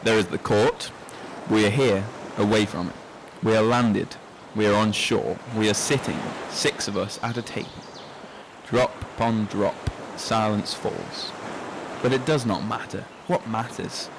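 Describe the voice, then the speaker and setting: A man's voice: lowish voice, measured pace, background noise. An actor playing a reflective, resigned middle-aged man in a literary radio drama, speaking in poetic, declamatory phrases.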